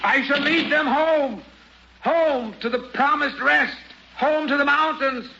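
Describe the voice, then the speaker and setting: Crazed old radio-play prophet: very high, slow biblical declaiming, fervent, on a muffled, noisy vintage recording. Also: male voice, gravelly, full character voice. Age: older. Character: crazed old prophet leading his people home, fervent. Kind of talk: old-time radio drama acting.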